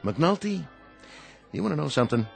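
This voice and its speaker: An actor playing a weary, plain-spoken American bartender with a dry, sardonic manner in a radio drama. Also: male voice, a little high, big pitch swings, normal speed, creaky, faint music behind, exaggerated voice. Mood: weary, fed up.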